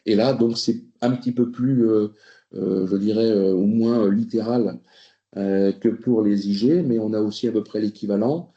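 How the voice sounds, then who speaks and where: A man's voice: fairly low pitch, fairly even intonation, moderate pace, dark tone. A man giving a spoken presentation to a committee, hesitant, with many fillers and restarts. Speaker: presenter on food quality labels. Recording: roomy, muffled recording.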